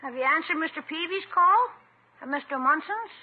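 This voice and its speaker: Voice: sits high, expressive, moderate pace. A performer playing a loyal, eager young nephew in a 1950s radio comedy, with earnest, slangy boyish talk. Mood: concerned. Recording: narrow-band, hissy 1950s radio recording.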